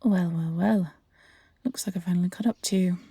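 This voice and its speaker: Woman acting a smug, husky, menacing bounty hunter. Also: lowish voice, fairly even intonation, warm tone, no echo. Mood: smug gloating. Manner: taunting, condescending, unhurried. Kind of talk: audio roleplay acting.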